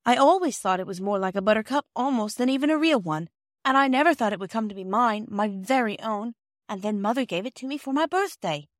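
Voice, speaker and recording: A woman's voice: a little high, animated, brisk, no room sound, studio quality, voice pushed up, lightly acted. A woman voicing an earnest, kindly young girl in a storybook, polite and eager. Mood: glad, eager. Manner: polite, sincere, bright and eager.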